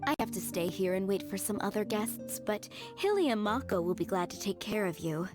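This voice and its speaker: Actress as a polite, poised winery maid, music behind. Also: female voice, medium pitch, lively intonation, lightly acted. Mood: courteous, informative. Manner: polite, measured, deferential. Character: courteous, devoted female winery maid, gentle and poised. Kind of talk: video game voice acting.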